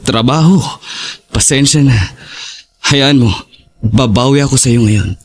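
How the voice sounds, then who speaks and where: A man with a Filipino accent playing a loving, apologetic boyfriend in an audio drama, speaking in a soft, reassuring way. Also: medium pitch, unhurried, slightly rough. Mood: apologetic, soothing. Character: loving, apologetic boyfriend keeping secrets about his work. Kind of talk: Tagalog audio drama acting.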